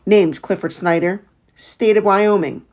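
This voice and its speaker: A woman's voice: mid-range voice, unhurried, a little mellow, lightly acted. A woman voicing a pompous, bullying male tax official in a storybook, with a demanding, authoritative manner. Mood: demanding, blustering. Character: short, pompous, bullying male tax man, city slicker. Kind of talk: storybook read-aloud character voice.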